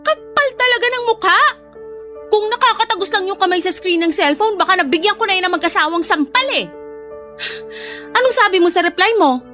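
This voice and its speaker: A woman's voice: very high voice, animated, fairly quick, bright, forceful tone, soft background music, full character voice. A woman playing a blunt, protective best friend with a sassy, scolding manner in an audio drama. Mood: indignant.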